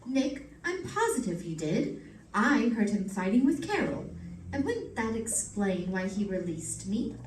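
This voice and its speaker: A woman playing a loyal, quick-witted, insistent sleuth's wife in old-time radio drama, earnest and theatrical. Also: medium pitch, big pitch swings. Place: live stage performance, mic at a distance. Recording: distant mic in echoey, noisy room.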